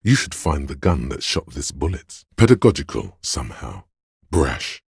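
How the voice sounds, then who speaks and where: The measured inner voice of a detective's reasoning in a video game. It is extremely low and husky, with a heavy creak. The narration is precise and literary, addressed straight to the player. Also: male voice, big pitch swings, no echo, clean studio sound, exaggerated voice. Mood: analytical, measured. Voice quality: very deep, gravelly, husky. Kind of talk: scripted game narration in second person.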